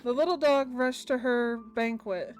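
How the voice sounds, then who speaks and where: Higher-pitched woman streamer reading aloud. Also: unhurried, soft background music. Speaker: game streamer. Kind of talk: reading visual novel translation aloud with commentary.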